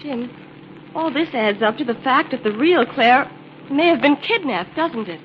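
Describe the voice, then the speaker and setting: An actress playing an eager, sharp-eyed female assistant in an old-time radio drama. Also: sits high, lively intonation, unhurried. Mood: worried. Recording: muffled, noisy old radio recording.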